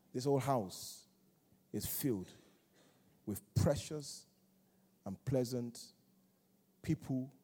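A man's voice: medium pitch, dark tone, husky. A man preaching a sermon in a church, repeating key phrases in a rhythmic call-and-response way. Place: large church hall. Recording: long church hall reverb.

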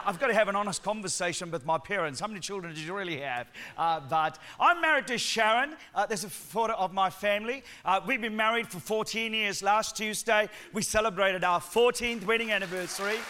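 A man with a Sri Lankan accent mixed with New Zealand, preaching a lively, joking sermon in church and calling on the congregation to respond. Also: high voice, moderate pace, some chatter behind. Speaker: guest preacher.

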